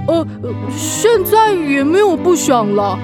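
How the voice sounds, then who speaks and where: Crybaby witch aunt for children's radio play: high, unhurried, huffy, stammering, easily flustered. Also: very animated, music in the background, heavily acted. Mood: huffy, defensive. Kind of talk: children's audio drama acting.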